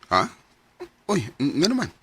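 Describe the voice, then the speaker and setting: A man's voice: on the high side, huge pitch swings, sharp tone, breathy edge, heavily acted. A male actor with a Cebuano accent playing a conflicted young business owner in a radio drama, speaking hesitantly. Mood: casual, helpful. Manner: hesitant, halting. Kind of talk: Philippine radio drama acting.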